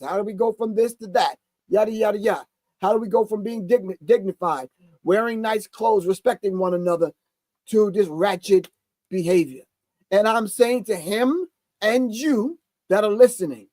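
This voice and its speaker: High-voiced older man preaching commentary. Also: darkish tone, no room sound. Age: 60s. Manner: preachy, emphatic, repeats key phrases. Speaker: host. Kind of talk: live commentary reacting to a video.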